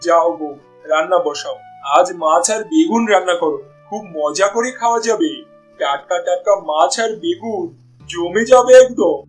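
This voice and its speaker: A man plays a cheerful village husband who dotes on his family in a cartoon. His voice is strikingly high for a man, over soft music. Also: moderate pace, close to an everyday voice, rough recording. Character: cheerful, doting poor village husband and father. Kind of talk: Bengali cartoon voice acting.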